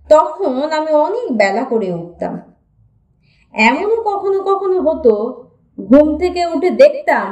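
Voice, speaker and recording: Lively woman reading a story, roomy echo. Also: sits high. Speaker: audiobook narrator. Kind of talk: reading a humorous story aloud. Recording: roomy echo, voice a bit distant from mic.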